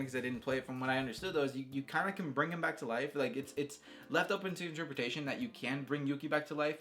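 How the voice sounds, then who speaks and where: A man's voice: a little high, a bit bright. Enthusiastic casual man over background music. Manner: enthusiastic, informal and slangy. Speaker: video game reviewer and host. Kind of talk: casual rambling game commentary.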